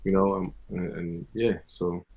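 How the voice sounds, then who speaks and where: A man from the eastern US talks casually as a guest, his voice low and very husky. His delivery is fairly even and laid-back, sprinkled with conversational tags. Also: measured pace, muffled recording. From Maryland and Florida, US. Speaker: guest music producer. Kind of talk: casual interview chat.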